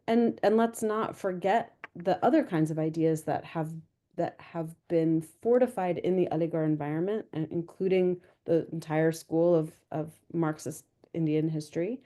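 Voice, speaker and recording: Measured, warm-toned woman historian. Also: on the low side. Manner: measured, explanatory, lecture-like. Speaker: history professor on a panel. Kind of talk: academic panel answer.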